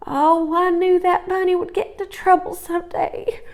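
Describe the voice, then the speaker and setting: A woman reader plays a worried mama bunny in a children's storybook. The voice is pitched up and plaintive, that of a mother who frets over everything. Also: flat delivery, moderate pace. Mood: tearful, fretful. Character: worried, fretful mother rabbit.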